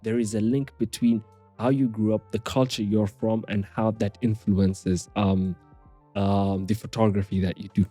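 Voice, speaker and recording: A man's voice: on the low side, flat delivery, normal speed, mellow, soft background music. A man hosting an interview, talking in an earnest, meandering way with many hesitations. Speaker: podcast host.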